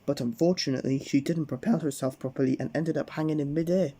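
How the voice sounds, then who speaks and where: A man reading a children's storybook aloud at bedtime, gently addressing the young listener. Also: fairly high pitch, fairly even intonation, quick pace.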